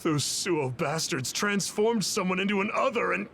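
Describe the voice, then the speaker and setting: A high-pitched, gravelly male soldier in a video game drama. He sounds hurt and urgent, forcing out dutiful words with a tense edge. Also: expressive, moderate pace, a bit bright, a little hiss or hum, exaggerated voice. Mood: pained urgency. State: hurt, strained.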